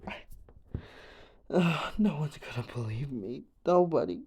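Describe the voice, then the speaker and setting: A man playing a distraught, grieving young man in an audio roleplay confession, stammering and trailing off as he haltingly tells an unbelievable story. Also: fairly high pitch, lively intonation, darkish tone, husky, exaggerated voice. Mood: frightened, disbelieving.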